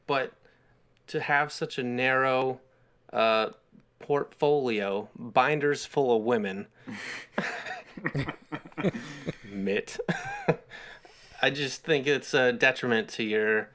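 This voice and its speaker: Male co-host with a sharp, bright voice, casual and opinionated, joking among friends. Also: mid-range voice, drawn-out words, pauses often. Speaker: podcast co-host.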